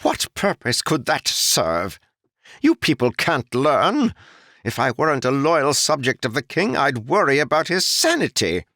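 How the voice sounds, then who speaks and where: A proud, irritated nobleman with a strange foreign lilt sneers and snaps at the locals. The voice sits well above the reader's own, with wide swoops of pitch. It sounds dry and close, with no room around it. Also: huge pitch swings, unhurried, sharp tone, dry recording, full character voice. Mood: scornful. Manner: sneering, snapping, complaining. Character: haughty exiled male noble, scornful and annoyed.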